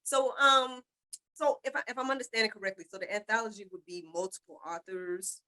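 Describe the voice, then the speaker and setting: A woman's voice: mid-range voice, a little fast, bright, forceful tone. A woman podcast host chatting casually in an interview, with an informal, rambling way of talking. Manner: informal, rambling, repeats "you know".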